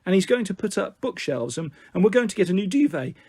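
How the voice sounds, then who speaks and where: An earnest young girl in a storybook as a man voices her, higher in pitch with a husky edge. She comes across eager, anxious and defensive. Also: sing-song, quick pace, a little mellow, no echo, exaggerated voice. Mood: eager, faltering. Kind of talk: audiobook character dialogue.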